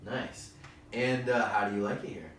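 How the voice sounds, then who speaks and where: A man's voice: on the low side, moderate pace, fairly bright tone, a little hiss or hum. A man from Maine hosting a podcast, asking questions in an upbeat, easygoing way. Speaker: podcast host. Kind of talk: casual interview chat. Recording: very echoey room, voice from far off.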